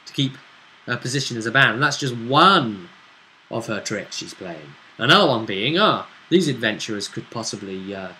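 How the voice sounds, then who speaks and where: A man's voice: medium pitch, expressive, moderate pace, pushed and bright, a little hiss or hum, low-quality recording. A man acting as game master, recapping a tabletop role-playing session in an explanatory, conversational way with frequent tag questions. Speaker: game master and show host.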